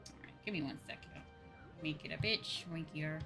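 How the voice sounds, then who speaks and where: A woman's voice: deep voice, moderate pace. A woman chatting casually and expressively as she hosts a live gaming stream, rambling conversationally with viewers. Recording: music playing behind the voice. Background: music.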